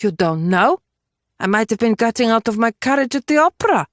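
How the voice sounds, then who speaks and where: A woman's voice: on the high side, animated, fairly quick, dry recording, clean studio sound, pitched above the performer's own voice, close to an everyday voice. A woman voicing a self-possessed, dangerous woman in an audiobook, cool and assertive in her phrasing. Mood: defiant.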